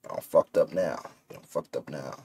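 A man's voice: extremely low pitch, fairly quick, darkish tone, slightly rough. A man giving casual, slangy reaction commentary, informal and incredulous, recorded indoors in a bedroom. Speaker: reaction video creator.

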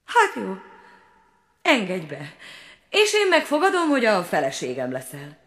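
A proud young fairy-tale prince, impatient and quick to scoff, his voice raised and forthright, as a woman acts him out. The delivery swings lively in pitch, with a brusque, indignant edge.

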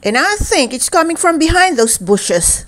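A woman's voice: high voice, big pitch swings, normal speed, pitched above the performer's own voice. A woman voicing a young half-dinosaur girl in a storybook, often confused or annoyed.

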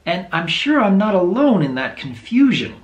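A man in his thirties with a low voice, talking earnestly to camera in a home video, thoughtful and openly unsure. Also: very animated, moderate pace, roomy sound, lo-fi sound. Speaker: video creator. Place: small room indoors at home.

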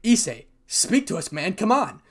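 Casting: loyal teen pal a man acts him out, higher voice, casual but urgent, story dialogue. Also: big pitch swings, normal speed. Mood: concerned. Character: worried, loyal teenage boys, the hero's school friends. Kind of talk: amateur story reading, acted dialogue.

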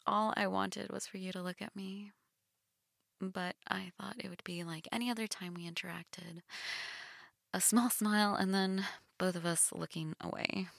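A young goth woman, acted by a woman in an intimate roleplay audio, teasing yet shy. She speaks slowly in a breathy, half-whispered voice with plenty of vocal fry, recorded close and dry. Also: mid-range voice, fairly even intonation, no room sound. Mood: wistful, shy. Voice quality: breathy, often dropping to a whisper. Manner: hesitant, teasing, soft-spoken.

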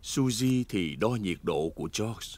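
A man narrating a children's cartoon from a script in an expressive storytelling manner. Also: on the low side, unhurried, noisy background. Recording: music behind the voice.

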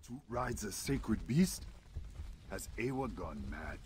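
A man's voice: lowish voice, sing-song, slow pace, a little mellow, slight background noise. A man playing a proud, hostile alien warrior in a video game, curt and scornful, speaking in stilted, formal phrases.

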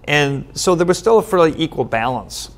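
A middle-aged man from Minnesota, a United Methodist pastor, giving steady, earnest answers in a studio interview, with frequent "um" fillers. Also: a little high, normal speed. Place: studio set with bookshelf backdrop, seated at table.

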